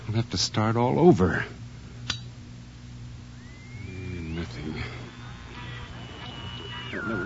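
An actor playing an obsessive, excitable radio tinkerer who mutters to himself and stammers when agitated, in a radio drama. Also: male voice, deep voice. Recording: dull audio with faint hum.

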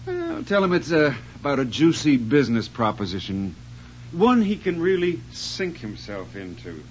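An actor playing a doomed, sardonic husband who broods and schemes theatrically in a screen drama. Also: male voice, a little high, wildly swooping pitch, moderate pace, slight background noise. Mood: falsely cheerful. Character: doomed, scheming, sardonic husband plotting revenge on his wife. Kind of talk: dramatic screen acting, brooding monologue and dialogue. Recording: muffled soundtrack under loud music.

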